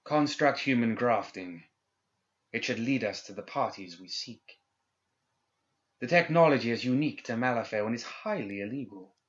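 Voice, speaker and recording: A man's voice: mid-range voice, animated, moderate pace, close to an everyday voice. A man voicing a formal, coercive male official in an audiobook, speaking with polite menace. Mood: satisfied, formal. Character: smooth-talking, coercive male Guild official. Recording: slightly dull, band-limited recording.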